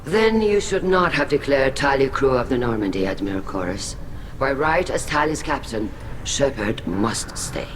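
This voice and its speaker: A man voices a grave alien elder presiding over a tribunal in a video game. His mid-pitched delivery is formal, measured and ceremonial, with clear background ambience behind it. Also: lively intonation, fairly bright tone, slight background noise, lightly acted, rough recording. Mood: formal, solemn.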